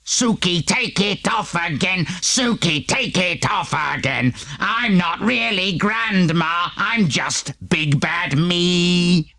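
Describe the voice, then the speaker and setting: A man's voice: sits high, slow pace, creaky, pushed and bright, voice pushed up, exaggerated voice. A man voicing a grumpy big bad wolf pretending to be a grandma, sing-song and grumbling, in a children's audiobook. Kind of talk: audiobook character acting.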